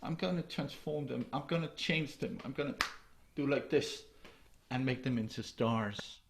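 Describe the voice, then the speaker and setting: A man's voice: medium pitch, normal speed, creaky, slight background noise, close to an everyday voice. A man voicing God in heaven, musing to himself and making up his mind. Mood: pondering.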